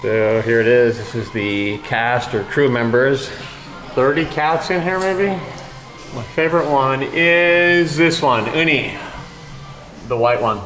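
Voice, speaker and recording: A middle-aged man hosts a casual vlog with a playful, joking manner. His voice sits at an average pitch. He talks to a camera at arm's length, with music clearly behind him. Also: slow, a bit bright, poor audio. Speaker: vlog host. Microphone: action camera at arm's length.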